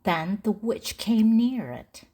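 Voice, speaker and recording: A woman reading a children's story aloud in short, deliberate phrases for language learners. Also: medium pitch, lively intonation, dark tone. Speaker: storybook narrator.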